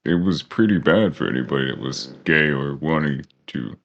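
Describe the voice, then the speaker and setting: A young man with an extremely deep, gravelly voice, chatting and reacting quickly in a group conversation. The audio is dull and lo-fi, like an online call. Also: lively intonation, a little hiss or hum. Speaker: podcast co-host. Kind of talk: casual group chat.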